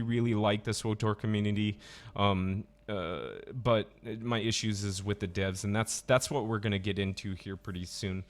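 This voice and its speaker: Rambling man hosting a voice chat. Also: lowish voice. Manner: rambling, many fillers and restarts. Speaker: stream host. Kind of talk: casual voice chat.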